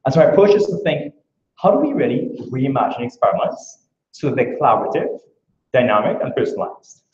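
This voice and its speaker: Fast-talking Trinidadian man giving a talk. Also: mid-range voice, very animated, darkish tone, a little hiss or hum. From Trinidad and Tobago. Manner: brisk, earnest, explaining with rhetorical questions. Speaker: academic speaker. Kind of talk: conference talk. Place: lecture room. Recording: echoey, dull room recording.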